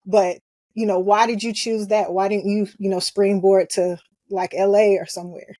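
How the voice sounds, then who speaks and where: A woman's voice: mid-range voice, flat delivery, moderate pace, warm tone, dry recording. Woman, podcast host, chatty, rambling questions, dry recording. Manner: chatty, many "you know" fillers. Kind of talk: casual interview questions.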